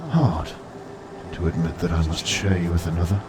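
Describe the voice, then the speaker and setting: A man voicing an ancient, proud and coaxing entity of immense power in an audiobook, speaking in a deliberate, grandiose way. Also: low-pitched, steady tone, noisy background, voice pushed down, close to an everyday voice. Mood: coaxing, solemn. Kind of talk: audiobook character voice.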